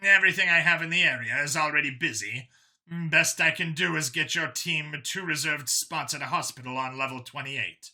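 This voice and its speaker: A man's voice: fairly high pitch, moderate pace, bright, forceful tone. A man playing a cool, efficient mission handler talking matter-of-factly over comms in an audio story.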